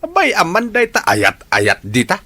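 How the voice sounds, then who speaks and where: A man's voice: high voice, wildly swooping pitch, normal speed, sharp tone, no room sound, full character voice. A man playing a crafty, materialistic, teasing old husband in a radio drama, with an Ilocano accent. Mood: teasing, cynical.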